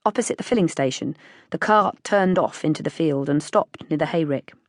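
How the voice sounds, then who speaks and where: A woman's voice: deep voice, normal speed, no room sound, studio quality. A woman with a British accent reading literary fiction as an audiobook narrator.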